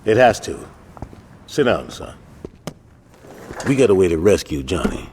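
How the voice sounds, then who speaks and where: A man playing a grave, blunt gang leader in a video game cutscene, giving orders in a rough, husky voice. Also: low-pitched, sing-song, measured pace, a little mellow, slight background noise, heavily acted. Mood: grim, instructing. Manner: blunt, authoritative.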